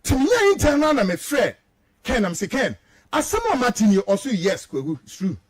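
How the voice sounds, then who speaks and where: Male Ghanaian radio commentator, very high-pitched and widely swinging delivery, combative and indignant, hammering points through repetition. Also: sing-song, normal speed. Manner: combative, emphatic, indignant, repeats words for emphasis. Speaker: radio show guest commentator. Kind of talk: heated political commentary mixing English and Twi.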